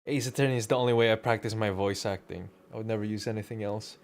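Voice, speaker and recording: A man's voice: lowish voice, fairly even intonation, quick pace. A man streaming a game with casual, joking, slangy live commentary. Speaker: streamer and amateur voice actor.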